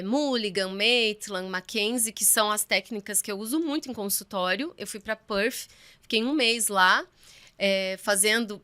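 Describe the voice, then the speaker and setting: A woman's voice: medium pitch, bright, forceful tone. A woman in her thirties, a sports physiotherapist chatting as an interview guest and acting out her own past remarks as she tells stories. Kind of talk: casual interview chat.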